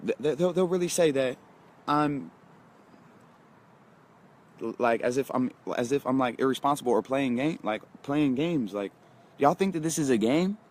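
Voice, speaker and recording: A man's voice: medium pitch, animated, moderate pace, pauses often. A man in his twenties giving a casual motivational talk, emphatic, repeating himself and speaking straight to his viewers. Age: 20s. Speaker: motivational vlogger.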